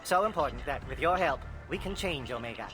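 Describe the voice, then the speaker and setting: A man playing a sly, smooth-talking information dealer in a video game, coy and insinuating in his salesmanship. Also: medium pitch, expressive, fairly quick, music in the background, close to an everyday voice. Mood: sly, persuasive. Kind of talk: video game dialogue acting.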